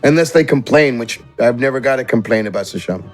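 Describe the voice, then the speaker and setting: A man's voice: mid-range voice, expressive, quick pace, faint music behind, voice pushed down, close to an everyday voice. A man voicing a brash, impatient tavern owner in a tabletop fantasy game, blunt and mocking in manner. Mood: dismissive. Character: gruff, brash German-looking tavern owner, busy and blunt.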